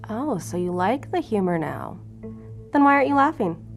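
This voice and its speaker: A woman's voice: medium pitch, sing-song, moderate pace, vocal fry, faint music behind. An actress playing a guarded, sarcastic female agent in an audio drama, speaking bluntly and casually in a naturalistic way. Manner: sardonic, deflecting, casual and blunt.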